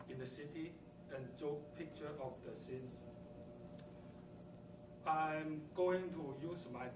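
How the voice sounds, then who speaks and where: Man with a Chinese accent, hesitant first-time speaker, slow delivery, distant and noisy room recording. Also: a little high. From southern China. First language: Cantonese. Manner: hesitant, slow, with many ums and uhs. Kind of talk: prepared speech. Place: meeting room. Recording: echoey room, mic far off, background noise.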